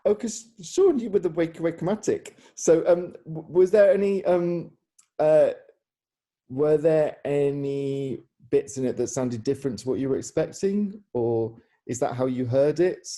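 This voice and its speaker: A man's voice: fairly high pitch, a little slow, mellow. A man hosting an informal question-and-answer session, phrasing his questions in a hesitant, rambling way. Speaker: interviewer and theatre director.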